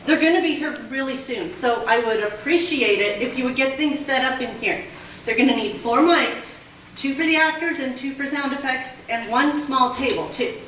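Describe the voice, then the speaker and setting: A woman acting as a brisk, bossy station manager who scolds and hands out orders, her voice high and bright. It rings out from a distance across a very echoey hall, as in a live radio play on stage. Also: slight background noise. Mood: scolding.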